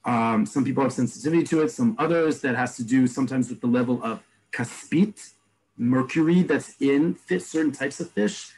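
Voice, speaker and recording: A man teaching an online class, explaining terms and repeating each word slowly. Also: medium pitch, a little mellow, slightly rough, low-quality recording. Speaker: Hebrew teacher. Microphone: video call.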